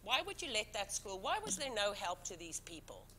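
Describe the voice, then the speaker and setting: A woman's voice: mid-range voice, very animated, fairly quick, bright, forceful tone, slight background noise. A woman serving as town commissioner, speaking English as a second language, who talks emphatically and defensively with many restarts at a public board meeting. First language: not English. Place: town council meeting room.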